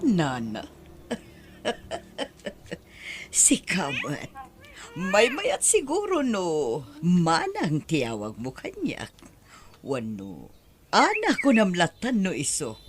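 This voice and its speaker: Slow, swooping flirtatious woman. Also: lowish voice, huge pitch swings, slight background noise, full character voice. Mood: flirtatious, coaxing. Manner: teasing, cooing, laughing. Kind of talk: Ilocano radio-style audio drama acting.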